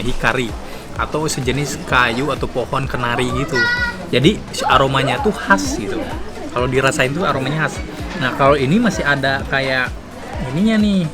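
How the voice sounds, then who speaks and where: A man from Bandung, hosting a travel show with casual, giggly, repetitive food-tasting chat. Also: mid-range voice. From Bandung, Indonesia. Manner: chatty, giggly, repeats himself. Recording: loud music behind, outdoor location sound. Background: loud music.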